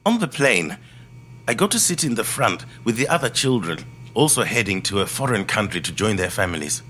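An actor playing a quiet, thoughtful middle-aged man who tells his life story in a measured, reflective monologue. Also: on the low side, very animated, slight background noise. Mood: grave, measured. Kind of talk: scripted first-person monologue, audio drama storytelling.

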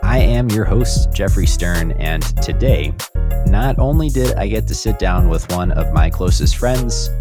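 A young adult man hosting a podcast, reading a scripted introduction and then interviewing a guest in a measured, friendly way. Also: low-pitched, a little mellow. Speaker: podcast host.

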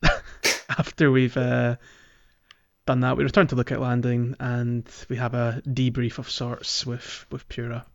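A man co-hosting a casual gaming podcast, talking haltingly and thinking aloud as he goes. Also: mid-range voice, completely flat delivery, gravelly, highs cut off, a little hiss or hum. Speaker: podcast co-host.

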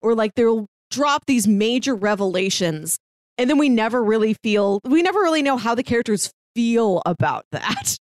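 A woman's voice: a little high, animated, normal speed, dry recording, studio quality. A woman co-hosting a podcast, chatting casually and conversationally as she gives her review. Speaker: podcast co-host. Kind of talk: casual chat reviewing a TV series.

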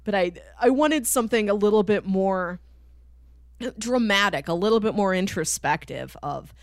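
A woman's voice: medium pitch, expressive, moderate pace, dry recording, clean studio sound. A woman co-hosting a podcast, talking casually through a TV show and acting out imagined lines as she explains. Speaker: podcast co-host. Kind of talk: casual conversational TV show discussion.

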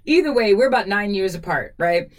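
A woman in her forties hosting a casual podcast, animated and laughing easily, with a quick exasperated edge. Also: medium pitch. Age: 40s. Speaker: podcast host. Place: studio.

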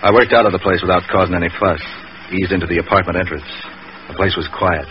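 A very deep-voiced, hard-boiled investigator played by a man rattles out lines quickly, terse and sardonic. It is tough vintage radio detective acting on a hissy, worn old recording. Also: fairly even intonation, muffled recording, lightly acted. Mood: wry, weary. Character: tough, cynical, wisecracking male hired investigator.

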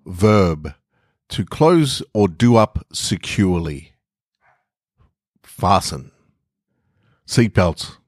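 A man's voice: deep voice, big pitch swings, drawn-out words, pauses often, dry recording, clean studio sound. A man hosting a podcast and reading short stories as a narrator. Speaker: podcast host and story reader. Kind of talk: scripted story narration.

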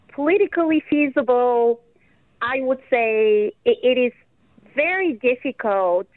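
A woman from Bulgaria, a retirement policy expert, answering interview questions in a measured, explanatory way with frequent ums and restarts, heard over a telephone line. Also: mid-range voice, dry recording. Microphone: phone. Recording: tinny phone line.

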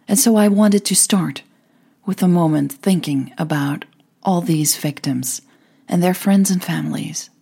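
A woman's voice: lowish voice, unhurried, creaky, mellow, slightly rough, no room sound. A woman hosting a podcast and narrating scripted audio fiction as its creator.

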